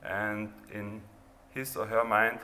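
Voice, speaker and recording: A man with an Austrian accent, a native German speaker, giving an informal, halting presentation of his own art project. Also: fairly low pitch, little pitch movement, bright, forceful tone. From rural Austria near the Hungarian border. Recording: reverberant hall, background noise.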